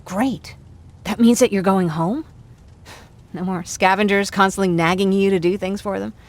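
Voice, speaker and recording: An actress playing a warm, joking young survivor in a video game, chatty and quick to apologise for herself. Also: female voice, medium pitch, steady tone, close to an everyday voice. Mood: relieved, teasing. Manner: chatty, self-deprecating, apologetic. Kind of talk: video game dialogue acting.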